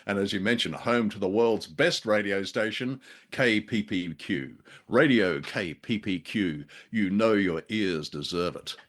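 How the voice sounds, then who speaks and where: A man's voice: fairly low pitch, measured pace, fairly bright tone. A man co-hosting a radio show, reviewing drama casually in a wry, playful way with wordy turns of phrase.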